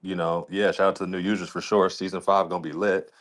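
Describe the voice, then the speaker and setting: A man's voice: low-pitched, level tone, fast talker, a crowd in the background. A man talking casually as a league commissioner on a podcast, in a colloquial, rambling way peppered with "you know".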